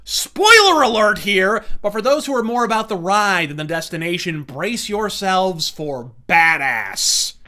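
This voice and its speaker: A man hosts a scripted, rant-style review. His voice is high and sharp, and his delivery is bombastic and sardonic, full of wordy, profane flourishes. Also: sing-song, unhurried, few pauses. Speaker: host, reviewer.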